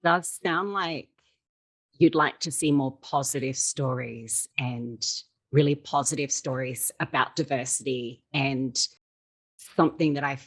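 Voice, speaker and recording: A woman hosting an interview, asking measured and encouraging questions. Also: extremely low pitch, fairly bright tone. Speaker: interviewer host.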